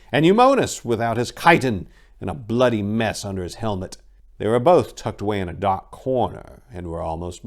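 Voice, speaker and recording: A man narrating in a theatrical, emphatic way, like a dramatic reading. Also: on the low side. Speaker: narrator and host.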